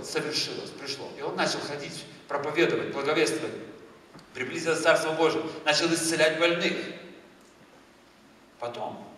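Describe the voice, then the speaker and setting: A man in his thirties from Moldova, with a Moldovan accent, preaching a lively sermon on a church stage and moving between loud emphasis and quiet asides. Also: a little high, slight background noise. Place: large church hall with a stage. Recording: echoey church hall, far from the mic.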